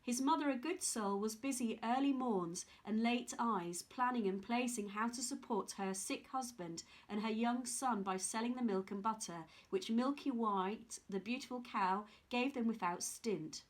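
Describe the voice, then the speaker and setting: A woman reading a children's story aloud in an expressive storytelling style. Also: on the high side, normal speed, few pauses.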